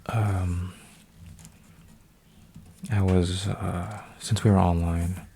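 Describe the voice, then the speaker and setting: A man in his twenties, an ASMR creator, rambling softly with lots of fillers and restarts while folding paper, sometimes dropping to a whisper. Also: low-pitched, little pitch movement, very slow, gravelly, a little hiss or hum. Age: 20s. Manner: meandering, full of fillers and restarts. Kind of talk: soft-spoken rambling ASMR.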